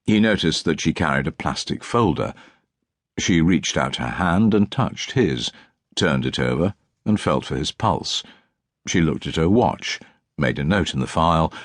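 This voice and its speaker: A man's voice: a bass voice, no room sound. Man narrating, dry close sound. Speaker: audiobook narrator. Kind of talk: scripted literary narration of a crime novel.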